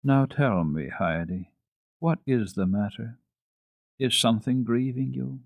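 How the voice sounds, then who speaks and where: A man voicing a kind, pious old grandmother in a storybook, gently and patiently coaxing. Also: medium pitch, unhurried, vocal fry, dry recording. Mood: gentle concern.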